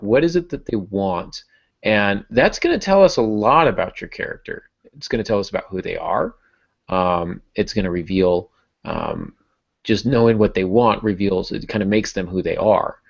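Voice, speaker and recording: A man's voice: fairly low pitch, creaky. A man hosting a podcast, teaching in a casual, conversational style. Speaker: podcast host, writing teacher. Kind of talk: casual instructional talk. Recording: dull lo-fi podcast audio.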